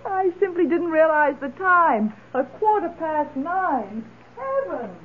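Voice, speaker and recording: A woman's voice: very high-pitched, sing-song, slow, full character voice. An actress playing a wealthy, generous, effusive lady of the house in an old-time radio drama, speaking in a theatrical, exclamatory way. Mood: flustered. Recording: muffled lo-fi radio drama recording.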